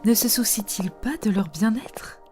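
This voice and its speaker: A woman's voice: mid-range voice, unhurried, darkish tone, faint music behind, close to an everyday voice. A woman playing an officious, disapproving agent of the authorities in an amateur webtoon dub, speaking formally. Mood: suspicious.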